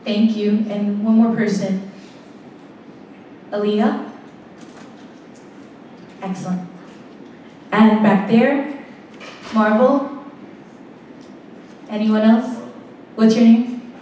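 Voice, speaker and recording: A woman's voice: mid-range voice, steady tone, very slow, long pauses, mellow. A woman leading a poetry workshop who calls on students and encourages them to share. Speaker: workshop leader. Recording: echoey hall, distant mic, some noise.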